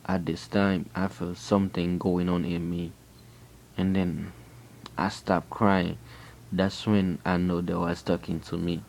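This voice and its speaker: A man from Haiti with a Haitian accent, telling a personal faith testimony in an earnest, halting way with frequent restarts. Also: low voice, level tone, vocal fry, darkish tone, slight background noise. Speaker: person giving a religious testimony.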